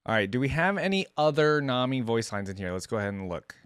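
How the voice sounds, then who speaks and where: A man's voice: mid-range voice, very animated, fast talker, fairly bright tone, faint music behind. A man hosting a reaction video with casual, musing, explanatory commentary.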